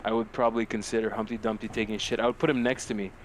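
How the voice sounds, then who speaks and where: A man's voice: fairly low pitch, little pitch movement, racing, slight background noise. A man co-hosting a podcast in casual, slangy, joking banter with plenty of swearing. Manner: profane, slangy, jokey. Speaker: podcast co-host. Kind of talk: casual podcast chat, weird news banter.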